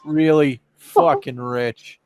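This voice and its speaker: A man's voice: fairly high pitch, animated, takes it slowly, a little mellow, no echo, clean studio sound. A man making casual, scornful remarks as a stream co-commentator, sardonic in manner.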